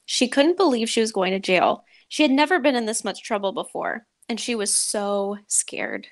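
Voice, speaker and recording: A woman's voice: fairly high pitch, very animated, moderate pace. A woman podcast host, talking and reading a story aloud in a dramatic way.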